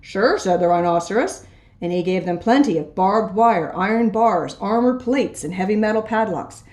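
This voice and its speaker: A woman's voice: medium pitch, moderate pace, a little mellow. Woman reading a children's story, echoey room. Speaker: storybook reader. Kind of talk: expressive children's story read aloud.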